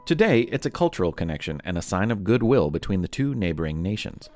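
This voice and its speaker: A man narrates for young listeners with highly animated, swooping intonation. His delivery is playful and theatrical, dotted with wry asides, and faint music plays underneath. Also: quick pace. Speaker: podcast host. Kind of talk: scripted narration for a children's history and quiz show.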